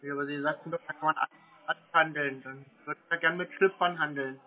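A man chatting casually and tersely on the phone in a deadpan way, baiting the caller as a prank host. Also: on the high side, fast talker. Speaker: prank host answering scam calls. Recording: tinny narrowband phone line.

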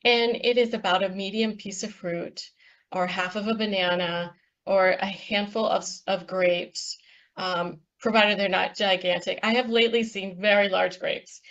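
Woman walking thru slides on a call, steady explainer, kinda muffled lo-fi sound. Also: medium pitch, unhurried. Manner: explanatory, steady, with frequent ums. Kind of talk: presenting slides in a panel talk.

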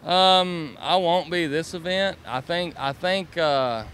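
A man's voice: a little high, slow pace, a bit bright. A man answers interview questions outdoors in a hesitant, thinking-aloud manner. He speaks into a handheld mic. A faint outdoor hum runs underneath. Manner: hesitant, musing. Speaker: professional angler. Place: outdoors by boats. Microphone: handheld mic held by interviewer.

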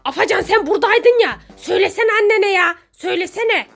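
A woman's voice: very high voice, expressive, a little fast, creaky, fairly bright tone, soft background music. A woman playing a mother in a children's pretend-play skit, speaking in an exclamatory, theatrical way and repeating her phrases.

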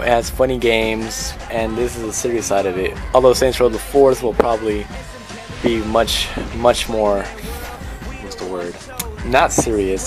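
A man giving casual, informal and opinionated commentary as the host of a video game countdown. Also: on the low side.

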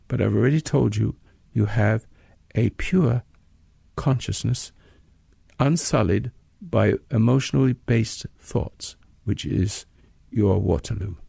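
Man, meditation teacher, heavily creaky low voice, mellow tone, measured instructional delivery, pauses mid-phrase. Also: no room sound. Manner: measured, plain-spoken, pausing mid-sentence. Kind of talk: spoken guided meditation, instructional.

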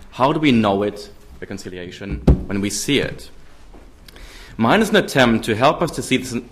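A man in his thirties with a German accent, giving a formal keynote lecture at a podium microphone in a large hall, measured with frequent ums. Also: on the low side, a bit bright. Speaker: keynote speaker. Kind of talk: academic lecture.

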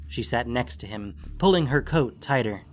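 A man's voice: mid-range voice, animated, moderate pace. A man reading scripted fantasy fiction as an audiobook narrator. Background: music.

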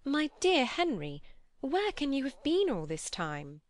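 A woman reading an audiobook, voicing a vivacious, teasing young society lady with animated, exclamatory, inquisitive speech. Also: mid-range voice, measured pace. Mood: impatient, amazed. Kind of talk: audiobook character dialogue.

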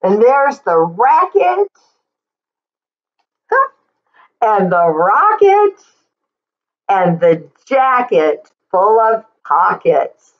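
A middle-aged woman reading a picture book aloud for children, expressively and deliberately, drawing out words and adding simple explanations. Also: medium pitch, wildly swooping pitch, dull audio. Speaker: children's story reader and teacher.

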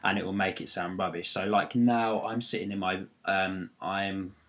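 A young man with a low voice walks through a screen-recorded tutorial. He rambles in a friendly, casual way, filling gaps with ums and restarting phrases. He is recorded on a laptop's built-in microphone in his bedroom. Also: fairly even intonation, normal speed, a bit bright. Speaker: tutorial maker. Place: bedroom near a busy road.

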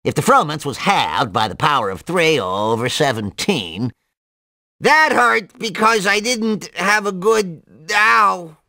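A man voicing a nerdy, excitable, absent-minded cartoon mad scientist in a video game, nasal and full of rambling jargon and odd exclamations. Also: high-pitched, slow pace, gravelly, no room sound, clean studio sound, heavily acted. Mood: put out, flustered.

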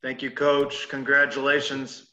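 A man's voice: mid-range voice, monotone, unhurried, pushed and bright, dull audio. A male reporter asking questions at a sports press conference.

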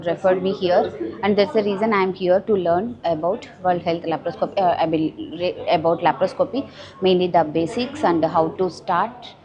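A woman obstetrician from India giving a rambling, self-correcting testimonial to camera on a lapel mic. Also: medium pitch. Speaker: obstetrician and gynecologist. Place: busy surgical training hall. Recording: dull audio, crowd chatter behind.

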